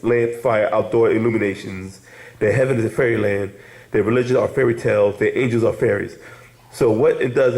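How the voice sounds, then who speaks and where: Echoey man lecturing a casual class. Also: medium pitch. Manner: rambling, repeats "you hear me?", frequent laughs. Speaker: class teacher.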